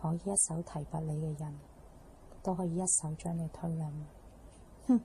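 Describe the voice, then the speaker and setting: A woman with a Hong Kong Cantonese accent playing a sly, flattering office schemer who needles and whines in a radio drama. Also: low voice, a little hiss or hum, lightly acted, rough recording. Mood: threatening. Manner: whiny, needling, drawn-out sentence endings.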